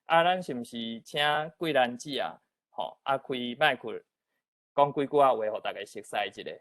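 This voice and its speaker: A man's voice: medium pitch, expressive, normal speed, no room sound, studio quality. A man with a Taiwanese accent hosting an online meeting, giving courteous, informal opening remarks. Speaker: outgoing host of an online literature event.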